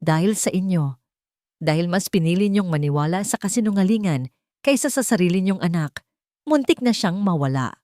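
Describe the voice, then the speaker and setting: A woman voicing a wounded but polite and firm young housemaid in a dramatized love story, speaking with quiet restraint. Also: on the low side, animated, moderate pace, dry recording, studio quality, close to an everyday voice. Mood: wounded reproach.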